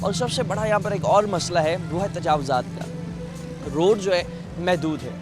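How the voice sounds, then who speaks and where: A male news anchor with a somewhat high voice. He reports in an earnest, drawn-out way and stops often on hesitant fillers. Clear music plays behind him. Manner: earnest, drawn-out delivery with many filler pauses. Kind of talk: formal news reporting and studio interview.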